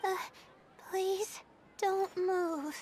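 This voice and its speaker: A woman's voice: very high voice, steady tone, very slow, a little hiss or hum, heavily acted. A woman voicing a timid, weary young female student in an anime video game, hesitant and polite. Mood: nervous. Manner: hesitant, soft-spoken, polite. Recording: clear music behind the voice.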